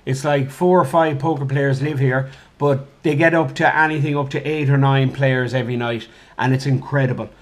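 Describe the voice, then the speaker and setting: A man, a guest in a casual chat, effusive and enthusiastic, talking in a flat's kitchen through one room mic. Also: medium pitch, normal speed, hardly any pauses. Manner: effusive, enthusiastic, full of praise. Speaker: guest, poker player. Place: kitchen of a flat, indoors.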